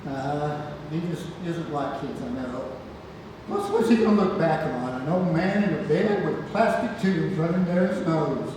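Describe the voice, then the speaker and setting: A man's voice: fairly high pitch, lively intonation, a little slow. A man playing a cranky, bedridden old great-grandfather in a play read-through, grumbling and rambling. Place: reverberant theatre room, far from mic. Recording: echoey hall, distant mic, heavy noise.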